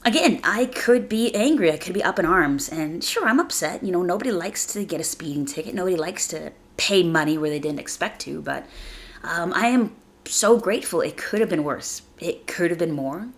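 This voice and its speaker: A woman hosting a casual motivational podcast, speaking conversationally and earnestly, slightly raspy from a chest cold. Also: fairly low pitch, expressive, normal speed. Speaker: podcast host.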